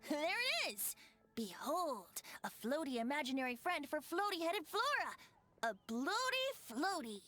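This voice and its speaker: A teasing carefree young bard. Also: female voice, high voice, sing-song, a little slow, sharp tone, soft background music, exaggerated voice. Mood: theatrical. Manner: light, teasing, theatrical. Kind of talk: video game voice acting.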